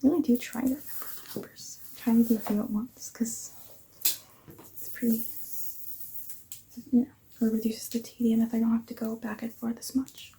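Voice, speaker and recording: A tired woman whispering softly through an ASMR face-measuring roleplay, yawning now and then and apologizing often. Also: fairly high pitch, level tone, moderate pace, creaky, long pauses, slight background noise, poor audio. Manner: soft whispering, apologetic asides.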